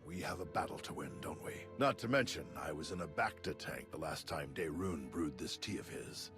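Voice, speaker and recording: An actor playing a thoughtful, courteous Jedi master in a video game cutscene, speaking in a measured, gently formal and wry way. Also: male voice, extremely low pitch, expressive. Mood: wryly curious. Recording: music behind the voice.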